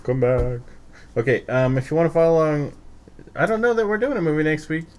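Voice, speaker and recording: A man's voice: a little high, fairly quick. A young man hosting a casual movie podcast with friends, joking crudely and laughing often. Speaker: podcast host. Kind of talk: casual chat.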